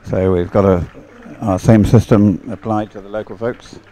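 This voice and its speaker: Male race commentator, lowish and dark-toned, casual and relaxed in live coverage. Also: normal speed, a little hiss or hum.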